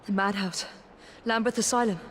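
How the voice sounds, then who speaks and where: A woman's voice: medium pitch, fairly even intonation, normal speed, slight background noise, lightly acted. An actress playing a determined young female assassin in a video game cutscene, speaking in a resolute, searching way. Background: game score music.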